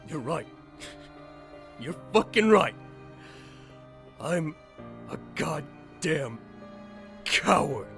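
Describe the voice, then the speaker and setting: A man playing a despairing, self-loathing young man in an audio drama, halting and pleading. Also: a little high, sing-song, vocal fry, a bit bright, soft background music, heavily acted. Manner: halting, anguished.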